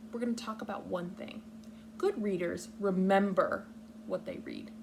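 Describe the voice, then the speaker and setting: A woman leading a read-aloud lesson for young children, speaking patiently in simple, deliberate, instructional phrases. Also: medium pitch, background noise. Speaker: kindergarten teacher reading a picture book aloud.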